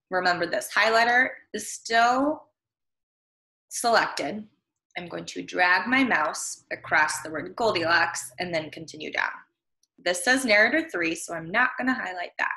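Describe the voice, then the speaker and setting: A young woman with an American accent teaches young children step by step. Her voice is a little low for a woman but bright and clear-cut. It is recorded on a computer mic in a roomy-sounding home. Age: young adult. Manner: simple, step-by-step explaining. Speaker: primary school reading teacher. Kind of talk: instructional screen-share lesson for young children. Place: small room at home. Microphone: computer webcam, video recording.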